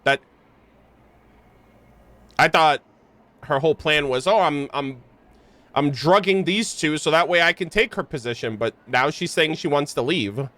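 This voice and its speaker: A man's voice: fairly high pitch, a little slow, pauses often, sharp tone. A man streaming a game, giving casual, slangy, joking reaction commentary.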